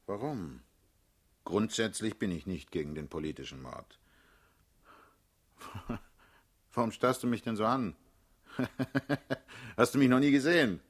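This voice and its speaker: An actor playing a blunt, world-weary, middle-aged male party leader in a German radio drama, with a husky, rough voice. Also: fairly low pitch, slow, pauses often. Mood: tense, urgent. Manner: blunt, paternal, brusque.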